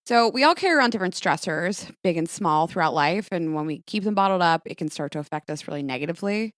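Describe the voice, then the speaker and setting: A woman with a fairly low voice reads a sponsor message. The delivery is scripted, as in a podcast ad, and the sound is close and dry with no room echo. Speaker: ad reader.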